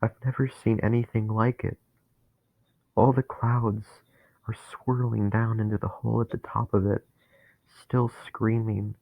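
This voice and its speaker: A man voicing a dying young man who is terrified and bitter, talking in a strained, pleading way that rises to anguished shouts. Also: on the low side, flat delivery, a little slow, gravelly, no room sound. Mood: awed dread. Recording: tinny phone-like, dry sound.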